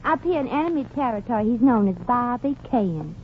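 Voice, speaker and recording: A woman's voice: a little high, animated, fairly quick, lightly acted. A woman playing a gushing, folksy Southern belle superfan in a 1940s radio comedy, cooing with an exaggerated Southern American accent. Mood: gushing, syrupy. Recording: muffled, hissy 1940s radio recording.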